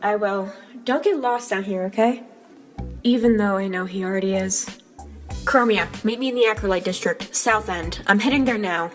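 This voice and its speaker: A woman voicing an earnest, determined robot heroine in an amateur comic dub, narrating in a reflective, measured way. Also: mid-range voice, lightly acted. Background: clear music bed.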